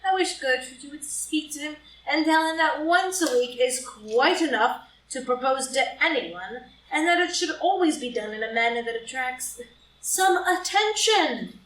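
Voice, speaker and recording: A flippant young society lady, acted by a woman, very high and swooping in pitch. She is vain, playful and teasing in a stage comedy reading. The sound is distant and roomy, with some noise. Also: expressive, moderate pace, fairly bright tone. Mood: playful complaint. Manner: arch, breezy, teasing drawl. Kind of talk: group play reading for audio drama. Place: live in-person group reading in a room.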